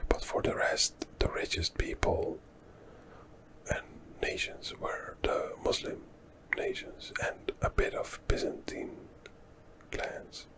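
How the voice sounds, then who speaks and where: A Dutch man, a native Dutch speaker, whispering softly through a relaxed ASMR history walkthrough and thinking aloud as he goes. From Netherlands. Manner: soft unvoiced whisper, unhurried, thinking aloud with fillers. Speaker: ASMR history channel host.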